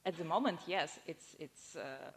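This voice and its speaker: A woman's voice: low voice, animated, measured pace. A woman answering audience questions after her talk, explaining firmly with some hesitant starts. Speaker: conference speaker answering questions. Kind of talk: academic Q&A. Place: lecture hall. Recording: lecture hall reverb, faint noise.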